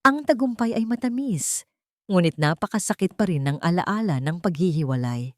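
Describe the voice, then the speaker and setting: Low-pitched Filipino woman narrating, very dry sound. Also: animated, normal speed, no room sound. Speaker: audio story narrator. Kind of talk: scripted dramatic first-person story narration.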